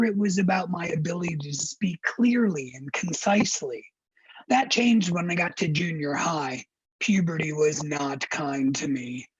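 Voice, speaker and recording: A middle-aged man speaks through a heavy rasp, his voice very husky and airy. He tells a personal story with wry candor at a steady, measured pace. The recording is dry and dull, with a lo-fi sound. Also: high-pitched, no echo. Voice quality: raspy, breathy voice after throat surgery. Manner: wry, candid, measured delivery. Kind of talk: personal storytelling to a virtual audience.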